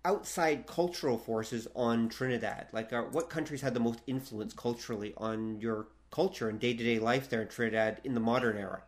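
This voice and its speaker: A man's voice: medium pitch, fairly quick, hardly any pauses. A middle-aged man, hosting a casual interview and often rambling and correcting himself as he goes. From Canada. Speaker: podcast host.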